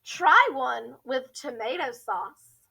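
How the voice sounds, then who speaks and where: Storybook lunch lady, played by a woman: pushy, coaxing, relishing, with a bright, high voice. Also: lively intonation, slow, vocal fry, husky, heavily acted. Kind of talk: children's storybook character voice.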